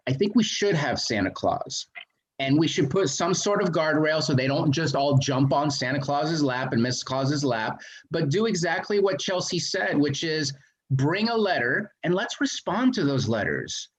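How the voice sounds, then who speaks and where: A man speaking at a city committee meeting, talkative, with a voice he calls very loud. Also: fairly high pitch, animated, moderate pace, rough recording. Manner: talkative, self-described loud voice. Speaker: committee member. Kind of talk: meeting discussion.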